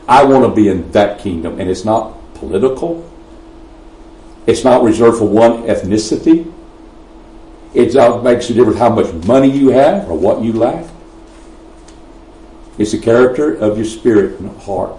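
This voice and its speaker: Texan preacher guy, mellow voice, sounds kinda far off in a roomy church, pushes up on the big lines. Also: medium pitch. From Texas. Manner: emphatic, swelling on key phrases, frequent restarts. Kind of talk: sermon. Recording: roomy echo, mic at a distance.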